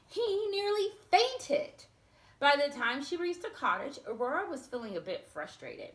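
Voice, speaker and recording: Woman, children's storyteller, higher pitch, expressive read-aloud, direct asides, roomy echo. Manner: expressive, addressing young listeners directly.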